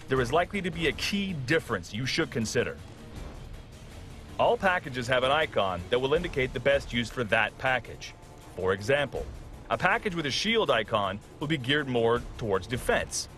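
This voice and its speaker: A man narrates a game tutorial from a script. His delivery is polished and instructional, with an animated, varied pitch in a middle register. Music plays behind his voice. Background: background music.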